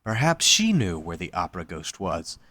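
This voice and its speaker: A man's voice: deep voice, huge pitch swings, moderate pace, pushed and bright, dry recording. A man reading scripted story narration for an audio drama.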